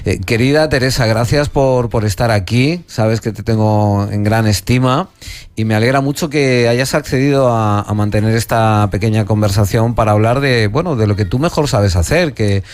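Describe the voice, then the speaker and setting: Halting middle-aged radio host. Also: male voice, lowish voice. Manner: hesitant, halting with restarts. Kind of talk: radio interview.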